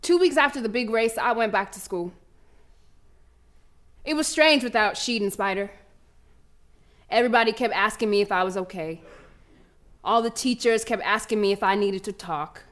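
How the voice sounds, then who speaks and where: A woman's voice: mid-range voice, moderate pace, long pauses, fairly bright tone, natural voice. A woman playing a proud young schoolgirl runner in an audio drama monologue, telling her story in colloquial, emphatic speech with dramatic repetition. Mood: subdued, grieving. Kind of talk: dramatic first-person monologue, audio play.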